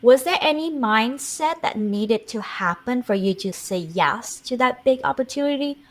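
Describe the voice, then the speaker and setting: A woman's voice: a little high, expressive, normal speed. A woman from Vietnam hosting a podcast interview, introducing her guest with upbeat energy and asking questions. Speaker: podcast host.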